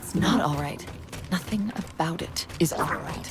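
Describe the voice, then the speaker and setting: A woman playing a quick-witted, emotional AI companion in a video game cutscene. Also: fairly low pitch, over music. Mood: remorseful.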